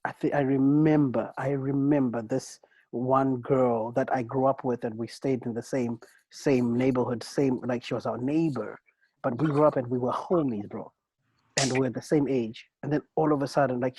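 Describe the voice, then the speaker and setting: A man co-hosting a casual podcast chat, rambling and halting with many restarts and "bro"s. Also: medium pitch, steady tone, darkish tone, breathy edge, no room sound, poor audio. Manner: rambling and halting, many restarts, peppered with "bro" and "you know what I'm saying". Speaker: co-host.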